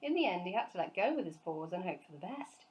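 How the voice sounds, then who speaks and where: A woman storyteller reading a children's book aloud in an expressive narrating style. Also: on the low side, fast.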